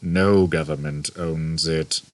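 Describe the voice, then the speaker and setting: A man voicing a depressed, bored robot in an audiobook, droning slowly with gloomy sarcasm. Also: little pitch movement, dry recording. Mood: sour loathing. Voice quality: low, droning. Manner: slow, gloomy, sarcastic muttering. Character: hopelessly depressed, bored male humanoid robot. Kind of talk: audiobook character voice.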